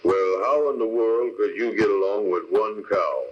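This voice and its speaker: An actor playing a skeptical, questioning, folksy cab driver in a 1940s radio comedy. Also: male voice, on the low side, moderate pace, natural voice. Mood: doubtful, puzzled. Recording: muffled old 1940s radio recording.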